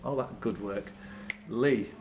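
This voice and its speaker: A man's voice: lowish voice, slow, noisy background. A man working as a quizmaster, reading questions live with informal teasing banter and stumbling self-corrections. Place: indoors at a pub quiz tournament.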